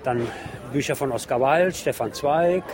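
A man's voice: medium pitch, unhurried. A man working as a radio reporter, giving an unscripted spoken field report as he describes what he sees and reads out titles. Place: outdoors on a busy city street among book stalls. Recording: busy outdoor street crowd noise. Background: street crowd.

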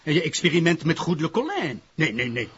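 Casting: stern Flemish prosecutor, very raspy voice, lively intonation, impatient authority, slightly muffled recording. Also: male voice, a little high, quick pace, exaggerated voice. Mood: dismissive. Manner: emphatic, exasperated, authoritative. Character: stern, dismissive male public prosecutor, impatient and formal. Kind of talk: broadcast crime drama acting.

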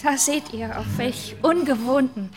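A woman's voice: high voice, a little slow, over music. A woman playing a proud, reproachful noblewoman in a radio drama, speaking formally and with passion. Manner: formal, impassioned. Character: proud, reproachful noblewoman pleading with her captor.

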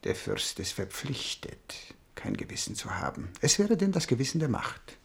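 An actor playing a smooth, cultivated schemer and art connoisseur in a radio drama, phrasing his words with insinuation. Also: mid-range voice, big pitch swings, moderate pace, exaggerated voice. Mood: coldly scheming. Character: smooth scheming Italian envoy, art connoisseur, coldly plotting. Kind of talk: German radio drama acting.

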